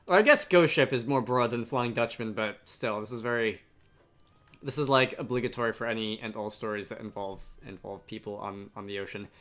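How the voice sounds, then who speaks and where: A man giving casual Let's Play commentary, talking haltingly and poking fun at himself, and reading game dialogue aloud. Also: on the low side, fairly bright tone.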